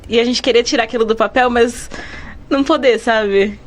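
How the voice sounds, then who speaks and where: A woman's voice: fairly high pitch, steady tone, moderate pace. A young Brazilian woman chatting casually as a student guest on a podcast. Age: young adult. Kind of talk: casual chat.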